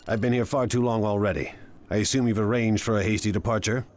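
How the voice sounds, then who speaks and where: An actor playing a proud, ruthless crime lord in a video game, with an imperious, deliberate, menacing delivery. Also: male voice, fairly low pitch, fairly even intonation, a little mellow, close to an everyday voice. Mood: brisk command. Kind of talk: dramatic video game acting.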